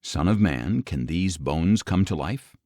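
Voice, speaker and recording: Man reading as a commanding, low-voiced God. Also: animated, warm tone, dry recording, clean studio sound. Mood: commanding, solemn. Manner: grave, declamatory, measured. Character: commanding, solemn male God speaking prophecy. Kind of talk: audio Bible scripture reading.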